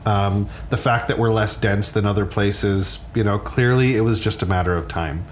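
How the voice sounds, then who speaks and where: Low-pitched American man, halting, faint background noise. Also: fairly even intonation. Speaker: sleep scientist, regular podcast guest. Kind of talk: casual interview talk.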